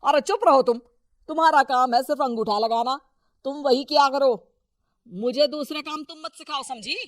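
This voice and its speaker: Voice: high-pitched, moderate pace, no echo, natural voice. A domineering middle-aged husband and crooked local politician from a cartoon moral tale, acted out by a performer. He is bossy and dismissive, recorded close and dry. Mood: angry, scolding. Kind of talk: Hindi cartoon moral story acting.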